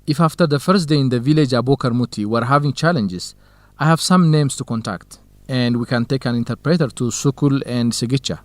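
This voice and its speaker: A friendly, curious male field researcher acted by a man in a radio drama. He explains things conversationally in a mid-pitched voice, recorded close and dry. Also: brisk, no room sound, lightly acted. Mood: explaining. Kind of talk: scripted educational radio drama dialogue.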